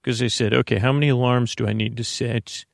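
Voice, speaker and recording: A man hosting a bedtime podcast in a meandering, halting monologue, reassuring the listener, often repeating himself and trailing off mid-thought. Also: mid-range voice, fairly even intonation, creaky, no echo, clean studio sound. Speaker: podcast host. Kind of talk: rambling bedtime monologue to help listeners sleep.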